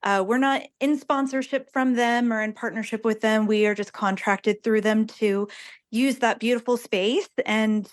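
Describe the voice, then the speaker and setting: A woman's voice: a little high, fairly even intonation, normal speed. A woman speaking casually and earnestly as an interviewed theater director, with an enthusiastic manner.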